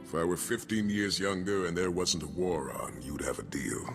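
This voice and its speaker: Game officer: older man, low voice, wry and appreciative, music clearly behind. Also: expressive, moderate pace. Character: seasoned older male military officer, grateful and wry. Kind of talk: video game cutscene dialogue.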